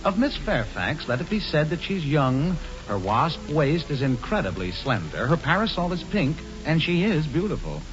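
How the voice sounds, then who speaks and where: A man giving wry, measured scripted narration for a 1940s radio drama. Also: on the high side, sing-song. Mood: amused, descriptive. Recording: dull 1940s radio broadcast with music.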